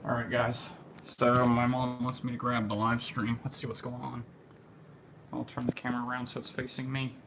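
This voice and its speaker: A man's voice: mid-range voice, monotone, moderate pace. A man casually narrating an amateur livestream, rambling and thinking aloud as he goes. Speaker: amateur weather spotter livestreaming. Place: at home during a storm. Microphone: phone. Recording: noisy amateur livestream, ambient noise.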